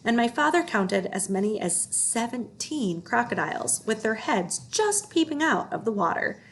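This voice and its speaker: A woman's voice: on the high side, lively intonation, moderate pace. A woman narrating a children's storybook aloud as its reader. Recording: clear background noise with hum.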